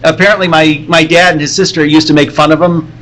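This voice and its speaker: Higher-pitched, quick-talking man. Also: steady tone, a bit bright, rough recording. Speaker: podcast panelist. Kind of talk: casual group chat. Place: live at a comic convention.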